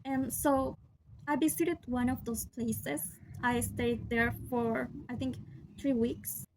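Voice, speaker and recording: A young woman from Peru, a Spanish speaker with a Peruvian accent, talking to her camera in careful English with many pauses and restarts. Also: a little high, fairly even intonation, noisy background. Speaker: vlogger. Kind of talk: casual talk to camera.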